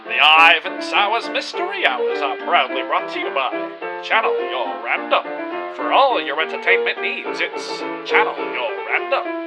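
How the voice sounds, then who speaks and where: A man's voice: a little high, wildly swooping pitch, a little slow, rough recording. A man reading a scripted sponsor and episode introduction as a show announcer. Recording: guitar music under the voice. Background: loud plucked guitar music.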